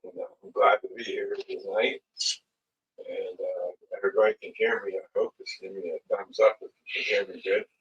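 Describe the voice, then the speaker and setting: A man with a slightly raspy voice hosting a casual livestream in a halting, unhurried manner. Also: on the low side, flat delivery, fairly bright tone. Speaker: livestream host. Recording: muffled lo-fi audio, distant mic.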